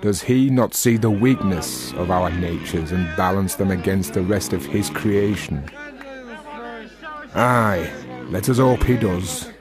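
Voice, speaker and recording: A humble, pious servant in a period radio drama. His voice is deep, his manner deferential and gently philosophical. Music plays clearly behind him. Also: slow. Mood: pious, gentle.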